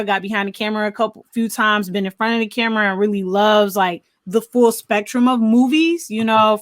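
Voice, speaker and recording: A woman co-hosting a podcast, talking through films casually in an animated, rambling, think-aloud way. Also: a little high, no echo. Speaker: podcast co-host. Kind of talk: casual film discussion.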